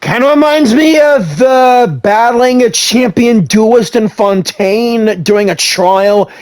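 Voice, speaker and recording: A man streaming a video game, reading choices aloud and commenting in a playful, stumbling, excitable way. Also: extremely high pitch, slow pace. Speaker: gaming streamer.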